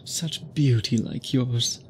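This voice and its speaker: A man playing an obsessed, courtly gentleman vampire in an intimate audio roleplay, speaking formally and deliberately to the listener. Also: medium pitch, slight background noise. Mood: intense, reassuring. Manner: formal, flowery, measured speech with deliberate pauses. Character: obsessed, courtly gentleman vampire suitor, possessive yet restrained. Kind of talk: intimate scripted ASMR roleplay, addressed to listener.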